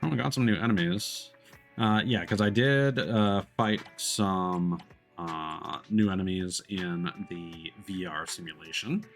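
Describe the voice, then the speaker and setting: A man's voice: low-pitched, measured pace. A man casually commentating on his live gameplay in a rambling way with dry humour. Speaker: game streamer. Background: game music.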